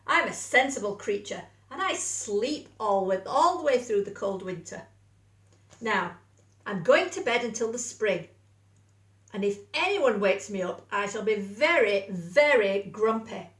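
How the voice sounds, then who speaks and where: A woman's voice: medium pitch, expressive, unhurried, lightly acted. A woman voicing a grumpy male badger from a picture book, huffing and scornful as she reads him aloud. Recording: echoey room, distant mic.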